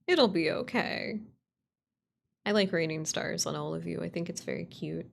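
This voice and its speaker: A woman's voice: lowish voice, normal speed, gravelly, slight background noise. A woman livestreamer chatting casually and playfully with viewers, rambling and swearing as she goes. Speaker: streamer, writer and voice actor.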